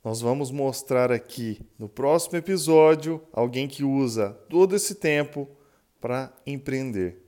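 Slow male voice giving an outro. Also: mid-range voice, animated, mellow. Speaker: episode outro announcer.

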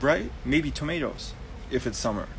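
A man's voice: mid-range voice, lively intonation, normal speed, gravelly, slight background noise, low-quality recording. A young man from Germany, hosting a casual live Q&A and explaining things loosely with many ums and restarts. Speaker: host. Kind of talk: casual live Q&A, answering viewer questions.

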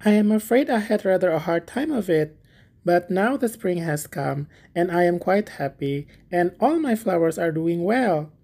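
A woman's voice: on the low side, expressive, moderate pace, warm tone, voice pushed up. A woman voicing a small, kind, poor young male gardener who talks eagerly and humbly, in a storybook reading. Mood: humble, grateful.

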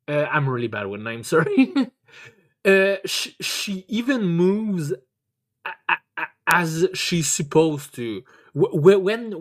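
A podcast host chatting casually and enthusiastically, stammering and repeating words, with emphatic swearing. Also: mid-range voice. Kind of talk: casual chat, enthusiastic review.

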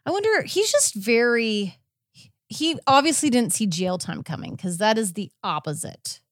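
A woman's voice: a little high, expressive, normal speed, no echo, studio quality. A woman podcast host casually recapping a story in a chatty, informal way, with quoted asides. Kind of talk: casual conversational recap of a court case.

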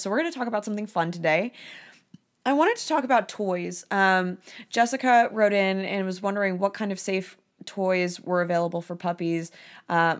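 A hoarse woman, a dog trainer, hosting a casual advice podcast and chatting with plenty of ums and uhs. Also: lowish voice.

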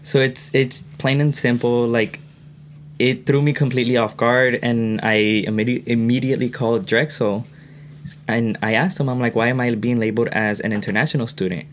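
A young man from California talking earnestly on a podcast, getting animated as he tells his own story. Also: mid-range voice, moderate pace, slight background noise. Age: young adult. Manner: earnest, animated when retelling. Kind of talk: casual podcast conversation.

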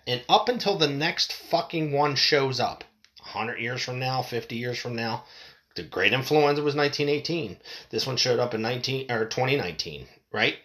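A man with a stuffed, sniffly nose rants about the news in a voice of middling pitch. He swears freely, trails off, restarts his sentences and keeps rambling. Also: fairly bright tone. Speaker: commentator. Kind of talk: profane rant reacting to news.

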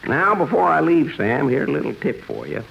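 An actor playing the Old Year, a kindly, folksy old-timer, in 1950s radio comedy style. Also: male voice, a little high, huge pitch swings, fairly quick, vocal fry, a bit bright, slightly rough, exaggerated voice. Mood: folksy, advising. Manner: folksy, rustic phrasing. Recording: muffled, noisy old radio broadcast.

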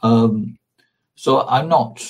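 A man's voice: mid-range voice, very slow. A man in an informal discussion, explaining history as a guest in a hesitant way, often rephrasing as he goes. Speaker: interview guest on magic and ancient religion.

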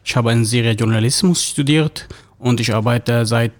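A man's voice: medium pitch, moderate pace. A man from Syria, introducing himself plainly as a panel guest. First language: Arabic.

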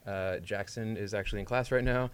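A man hosts a sports talk podcast with a fairly low voice. He asks his questions in a relaxed, halting way, trailing into "um" and "you know", and answers with quick short remarks. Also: slight background noise. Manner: relaxed, hesitant, many "um" and short replies. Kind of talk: casual interview chat.

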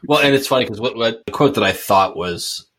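A deep-voiced man from suburban Boston muses aloud on a casual podcast. He circles back and restarts his sentences as he works out his thoughts. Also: a little fast, fairly bright tone. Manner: thoughtful, hesitant, restarts often. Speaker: podcast co-host. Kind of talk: casual discussion.

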